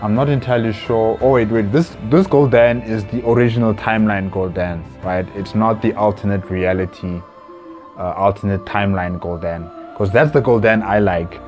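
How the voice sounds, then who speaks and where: A man's voice: fairly low pitch, steady tone, moderate pace, a little mellow, faint music behind. A young adult man giving casual commentary as a reaction video host, musing and hesitant, on a lapel mic in a small room. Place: small plain room indoors.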